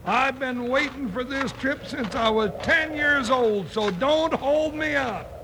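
A loud high-voiced cowboy sidekick. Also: male voice, a little slow. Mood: hearty. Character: big gravelly-voiced adult male deputy sidekick, boisterous. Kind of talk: 1950s radio drama acting. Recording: old radio transcription with loud hiss.

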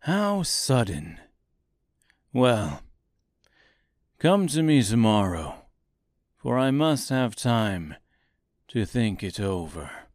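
A man's voice: mid-range voice, very animated, extremely slow, pauses often, a little mellow, no room sound, clean studio sound. A man voicing a timid little old humbug wizard in a storybook, speaking meekly with a tremble. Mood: nervous. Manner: trembling, meek.

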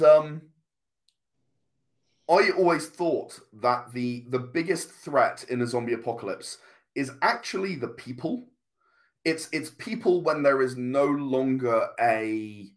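A man speaking as an interview guest, explaining and storytelling conversationally, halting and repeating words as he goes. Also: mid-range voice. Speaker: podcast guest, comic writer.

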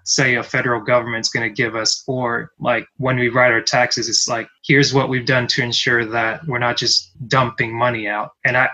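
A man's voice: mid-range voice, pushed and bright, low-quality recording. A man from Cameroon hosting a podcast, telling long rambling stories and acting out what people said. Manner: rambling storyteller, many "like" fillers, acts out quotes. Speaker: podcast host. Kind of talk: casual conversation.

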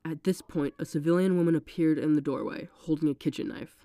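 A congested narrator with a cold reads a clinical horror file in a steady, measured voice. The tone is dark and mellow, and the sound is close and dry, with no room around it. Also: mid-range voice, no echo.

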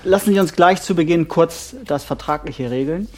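An actor playing a brisk, controlling male couples therapist with a gravelly, husky voice and direct questions. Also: fairly high pitch, a little mellow, natural voice. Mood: businesslike. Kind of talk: naturalistic German audio drama acting.

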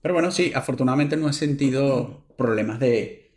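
A Venezuelan man in his thirties, co-hosting a casual chat, telling stories with animated, quick bursts when amused. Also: medium pitch, roomy sound. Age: 30s. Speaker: podcast co-host.

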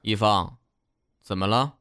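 A man's voice: lowish voice, unhurried, a bit bright, clean tone. A man playing an exasperated, reluctant young man in an audio drama, with a grumbling, incredulous manner. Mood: concerned. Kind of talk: amateur Chinese audio drama acting. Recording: music behind the voice.

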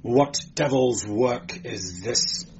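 A man voicing a keen, cool chief investigator in an audiobook, questioning with brisk authority. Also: medium pitch, lightly acted. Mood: surprised, brisk. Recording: dull, noisy amateur recording.

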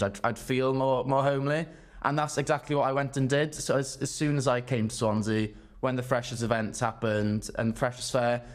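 Earnest young man. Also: medium pitch. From West Wales. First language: Welsh. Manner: earnest, with frequent repeated words. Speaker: students' union officer, guest. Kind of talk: casual interview answers.

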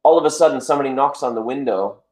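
A man guest in a casual chat, telling lively anecdotes and acting out what others said. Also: rapid-fire. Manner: animated anecdotes, acts out quotes with raised pitch. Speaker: guest, dancer. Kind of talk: casual storytelling interview.

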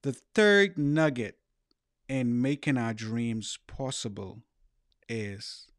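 A man's voice: mid-range voice, very animated, takes it slowly, dry recording. Bahamian guy doing a motivational coaching podcast, earnest and emphatic, repeats himself for effect, really dry sound. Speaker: life coach and podcast host.

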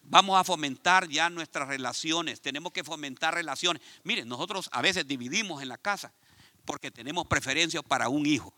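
A man from Ecuador with an Ecuadorian Spanish accent, preaching a sermon in an animated, conversational way and speaking straight to his congregation. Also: a little high, fairly quick, no echo. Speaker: pastor. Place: church.

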